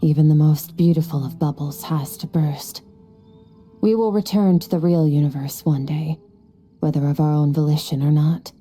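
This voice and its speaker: A woman voicing an aloof, solemn, ageless swordswoman in a video game, speaking in a measured, philosophical way. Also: low voice, steady tone. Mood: solemn, reflective. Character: aloof, solemn, centuries-old swordswoman who looks youthful. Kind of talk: video game cutscene voice acting. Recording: soft background music behind the voice.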